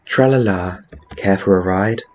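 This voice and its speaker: A man's voice: sits low, measured pace, a little mellow, lo-fi sound. A man voicing a cryptic, placid river ferry spirit in a video game fan dub, terse and singsong.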